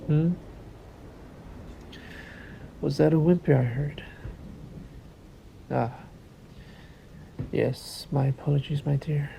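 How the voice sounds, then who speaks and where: A man's voice: warm tone, slight background noise, rough recording. A man voicing a sadistic, possessive vampire master in an ASMR roleplay, coaxing and commanding in a condescending murmur that often sinks into a whisper. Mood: mocking, seductive. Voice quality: low gravelly murmur, often sinking into whisper. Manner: very slow, deliberate, coaxing and commanding.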